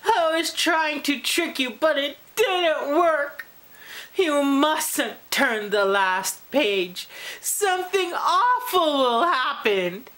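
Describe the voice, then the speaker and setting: A desperate storybook character begs and pleads in a high, wildly swooping voice, as a woman reads it aloud. Every line is drawn out very slowly and theatrically. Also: animated, fairly bright tone, full character voice. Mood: pleading.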